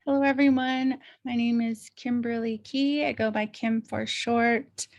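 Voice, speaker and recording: A woman's voice: on the high side, fairly even intonation, measured pace, darkish tone, lo-fi sound. A woman giving a webinar presentation, talking steadily with frequent "uh" fillers. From Klagetoh, Arizona, Navajo Nation.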